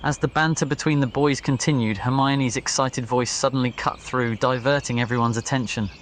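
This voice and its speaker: A man's voice: mid-range voice, steady tone, brisk, slight background noise. A man reading a scripted ASMR story as its narrator. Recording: nature ambience behind voice. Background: crickets, birds and insects.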